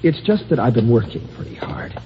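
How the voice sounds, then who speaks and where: A man's voice: mid-range voice, very animated, fairly quick, music in the background, close to an everyday voice. An actor playing a nervous, desperate man of middle age who stammers and breaks into panic, in 1950s radio style. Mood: panicky. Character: frightened, desperate middle-aged office man. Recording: dull old radio broadcast with hiss.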